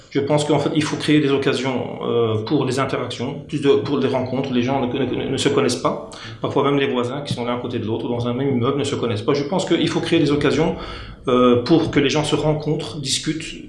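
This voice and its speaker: Candidate, male, halting delivery full of fillers, roomy studio sound with faint hiss. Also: medium pitch. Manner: hesitant, many fillers and restarts. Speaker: local election candidate. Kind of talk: political panel discussion. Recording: echoey studio with faint noise.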